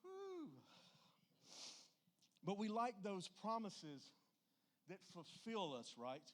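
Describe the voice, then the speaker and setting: Higher-pitched male preacher, emphatic and folksy. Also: huge pitch swings, takes it slowly. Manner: emphatic, folksy, rhetorical questions to listeners. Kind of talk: informal church sermon.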